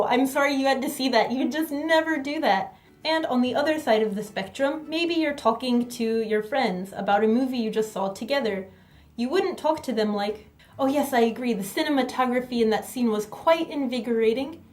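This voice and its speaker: A woman teaching a grammar lesson while sitting in front of her camera, speaking clearly and deliberately. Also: high-pitched, a little mellow. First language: not Korean. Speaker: language teacher. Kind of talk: explaining to camera. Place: sitting indoors. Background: faint background music.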